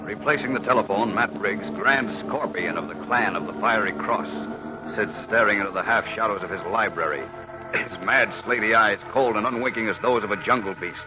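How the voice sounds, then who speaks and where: A man's voice: lowish voice, brisk. A man narrating a 1940s radio serial in a dramatic, florid announcer style. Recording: muffled vintage radio recording. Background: music.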